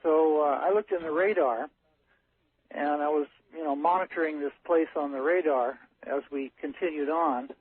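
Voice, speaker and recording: A man tells his account over a tinny telephone line to a radio show. His voice is husky and a little high, and he speaks haltingly, in a matter-of-fact technical manner. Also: steady tone. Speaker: caller, ship's captain. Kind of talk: unscripted storytelling on a call-in show. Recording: narrow muffled phone line on radio.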